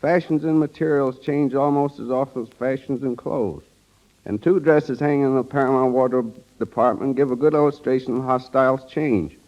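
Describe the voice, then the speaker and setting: A man's voice: medium pitch, highs cut off. A man giving a scripted, formal guest interview on an old 1930s radio broadcast, as if reading prepared lines. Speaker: studio wardrobe director, radio guest.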